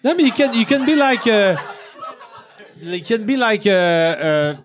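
A man from Quebec whose first language is French, a podcast host, hesitant and halting in banter. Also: very high-pitched, sing-song. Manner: hesitant, halting, many fillers. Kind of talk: casual comedic banter.

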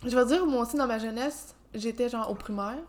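A woman with a Québécois accent, a podcast guest telling a lively story in casual chat. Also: a little high, fairly quick. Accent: Québécois French.

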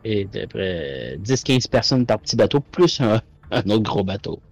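Brief: deeper-voiced man, laid-back player, dry asides. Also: very animated, measured pace, a little mellow. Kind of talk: casual chat at a tabletop game.

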